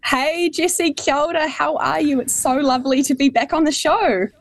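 A young woman in her twenties with a New Zealand accent, a guest on a radio interview, talking in a modest, lively way. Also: high-pitched, normal speed, no echo. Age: 20s. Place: remote link.

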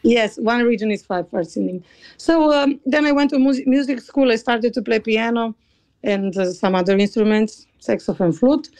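A woman from Slovenia with a Slovenian accent, a choral conductor answering interview questions in a halting way, often searching for words. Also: fairly high pitch, darkish tone, dry recording. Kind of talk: interview conversation.

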